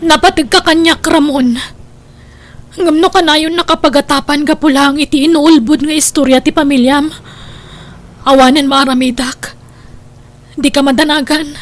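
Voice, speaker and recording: High-voiced, hurt girlfriend acted by a woman. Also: fairly even intonation, measured pace, pauses often. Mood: pained, resigned. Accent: Ilocano. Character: wronged, hurt girlfriend defending herself. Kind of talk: Ilocano audio drama acting.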